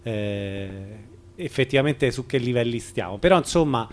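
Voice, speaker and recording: A man hosting an informal radio interview, speaking in measured, hesitant phrases that circle toward the point. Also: medium pitch, animated. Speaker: radio host.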